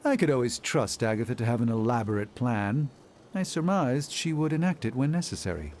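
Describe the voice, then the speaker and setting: An actor as a courtly male sage from a video game. He speaks formally and grandly, with wide swings in pitch. Faint ambience sits behind him. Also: animated, fairly quick, a little mellow, a little hiss or hum, natural voice. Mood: pleased, stately.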